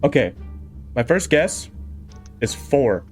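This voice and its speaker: A man of medium pitch talks casually as a player at a tabletop game. His delivery is unhurried but very animated in pitch, with faint music behind him.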